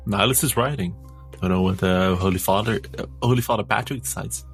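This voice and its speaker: A man's voice: lowish voice, fast talker, soft background music. A man narrating his character's actions as a player in a tabletop roleplaying game.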